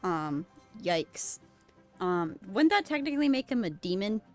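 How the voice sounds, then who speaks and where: A woman's voice: medium pitch, sing-song, unhurried. A woman giving casual live commentary on a game, musing hesitantly as she reacts. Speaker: game streamer. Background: game music.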